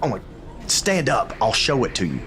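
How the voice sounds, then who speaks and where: An actor playing a laid-back, guarded but helpful wrestler ally, talking casually in a video game. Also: male voice, medium pitch, wildly swooping pitch, normal speed, fairly bright tone, a little hiss or hum. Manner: casual, conversational. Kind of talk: scripted video game dialogue.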